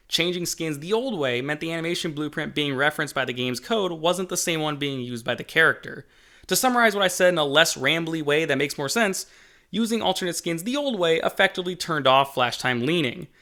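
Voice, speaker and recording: Bright, forceful higher-pitched man narrating. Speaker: game developer and channel host. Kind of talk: scripted video narration, development update.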